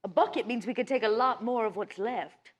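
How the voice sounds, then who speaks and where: A woman's voice: a little high, brisk, a crowd in the background, natural voice. A woman playing a playful, teasing female vampire in an improvised tabletop game.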